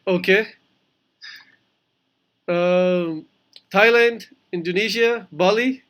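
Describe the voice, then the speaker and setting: A man's voice: high-pitched, very slow, fairly bright tone. A man taking part in an online talk, answering casually and hesitantly as he guesses. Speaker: audience participant answering questions.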